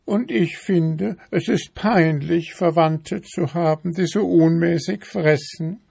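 A man's voice: slow pace, vocal fry, darkish tone, husky, dry recording, clean studio sound, exaggerated voice. A man voicing a preachy, nagging female beetle who pesters her husband with insistent questions, in a storybook reading. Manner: insistent, high-pitched questioning.

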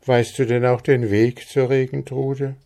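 A man's voice: mid-range voice, level tone, measured pace, mellow, pitched above the performer's own voice, natural voice. A man voicing a motherly peasant widow in a storybook reading, earnest and instructing. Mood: earnest concern. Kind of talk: fairy-tale storybook reading.

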